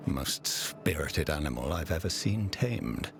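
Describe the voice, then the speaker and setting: An actor playing an imperious, cruel ruler who commands holy inquisitors in a fantasy game, with a grandiose and menacing manner. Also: male voice, a bass voice, gravelly, full character voice. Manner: grandiose, theatrical in proclamation, menacing in private. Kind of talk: dramatic fantasy game acting.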